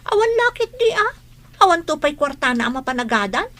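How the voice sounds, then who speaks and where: A woman's voice: high-pitched, very animated, brisk, fairly bright tone. A woman playing an eager, slightly worried young schoolgirl in a radio drama. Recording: faint background noise.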